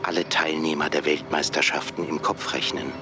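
An actor playing a cold, blunt AI job interviewer in a radio play, speaking formally and condescendingly. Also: male voice, rumbling low, steady tone, moderate pace, over music. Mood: cool, condescending. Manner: formal, clipped, condescending questioning.

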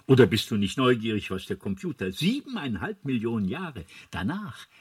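A man playing a mysterious, knowing alien guide with a husky, portentous delivery in a radio drama. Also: mid-range voice, big pitch swings, moderate pace, dry recording, clean studio sound. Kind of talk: German radio drama acting.

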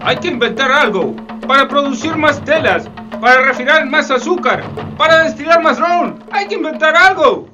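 Man playing a high-voiced, bossy merchant. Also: animated, moderate pace, lo-fi sound, music in the background. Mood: urgent. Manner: urgent, imperious calling out. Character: commanding English merchant barking dockside cargo orders. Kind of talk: community radio play acting. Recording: distant mic amid noisy ambience.

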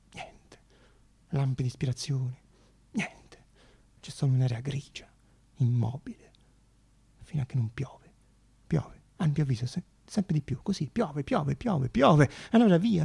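A man's voice: on the high side, vocal fry, warm tone, slightly rough. A man narrating a theatrical monologue as a stage actor, dramatic and repeating phrases for emphasis.

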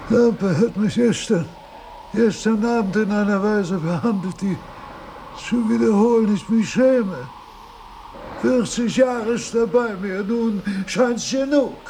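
Querulous old professor, high creaky voice, pedantic, radio drama, bit of background noise. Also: male voice, mellow, poor audio. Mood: offended, resolute. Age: older. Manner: pedantic, halting, imperious.

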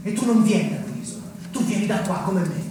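A man's voice: sits high, fast talker, natural voice. An actor playing a bitter, accusing small-town man, insistent and confrontational in theatrical stage acting. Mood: skeptical. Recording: very echoey, noisy, distant stage recording.

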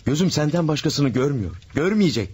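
A man's voice: on the high side, sing-song, fairly quick, darkish tone, husky, exaggerated voice. An actor playing an infatuated married man in a radio drama, pleading and overwrought in his passion. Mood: impassioned. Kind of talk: 1970s-style radio drama acting.

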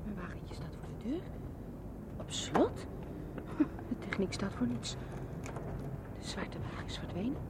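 An anxious but determined woman in an old radio drama. She speaks at a middling pitch, sometimes pressing and sometimes hushed and intimate. Clear noise runs through the recording. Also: big pitch swings, a little slow, pauses often. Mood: anxious, brooding. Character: anxious, determined woman hunting the truth about a conviction. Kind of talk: radio drama acting with intimate interior monologue.

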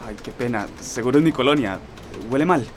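A man's voice: medium pitch, animated, a little fast, a little hiss or hum, lightly acted. An actor playing a polite, nerdy young man who explains things wordily, in a radio drama with a Costa Rican accent. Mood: eager, polite. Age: young adult. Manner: wordy, pedantic explanations. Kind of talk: naturalistic radio drama acting.

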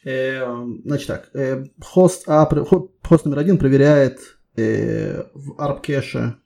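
A man conducting a conversational technical interview, setting out his questions deliberately, step by step. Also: mid-range voice, creaky, warm tone.

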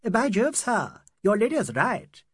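A flustered chauffeur played by a male narrator, eager and emphatic, aping English manners. His voice is raised and husky, in audiobook style. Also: high-pitched, big pitch swings, slow pace, a bit bright, dry recording, clean studio sound, pitched above the performer's own voice, heavily acted. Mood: eager echoing.

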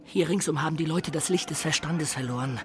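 A man's voice: fairly high pitch, brisk, slight background noise, natural voice. A man playing a bold, breezy crusader adventurer from northern Italy in a German radio play. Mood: urgent, practical.

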